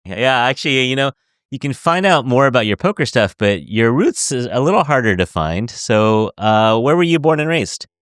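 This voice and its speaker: A man's voice: mid-range voice, sing-song, normal speed, a bit bright, smooth, dry recording, studio quality. A man hosting a podcast interview, chatty and giggly, asking long rambling questions. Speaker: podcast host. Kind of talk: casual interview.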